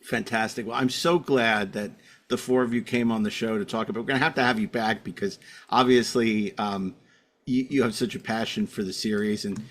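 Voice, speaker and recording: A man's voice: lowish voice, fairly even intonation, a little fast. A man hosting a podcast in a casual, rambling way, often correcting himself as he goes. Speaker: podcast host.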